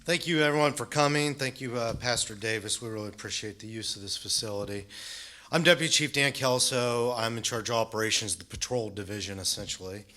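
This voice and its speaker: A man's voice: fairly low pitch, brisk, bright, forceful tone. A man, a deputy police chief with a nasal, stuffy voice from allergies, giving a plain town hall briefing. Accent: Ohio.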